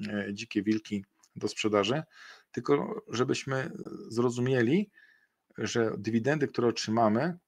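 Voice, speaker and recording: Middle-aged male webinar presenter, gravelly voice, hesitant, fills gaps with "e", webcam sound. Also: fairly low pitch. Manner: hesitant, many fillers and restarts. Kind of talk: investment webinar talk.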